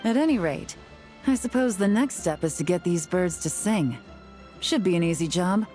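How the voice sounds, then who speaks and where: A woman playing a composed, wry detective agent in a video game, speaking in a cool, measured, dryly sly way. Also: lowish voice, animated, warm tone, soft background music, natural voice. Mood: sly, businesslike. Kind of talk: video game character dialogue.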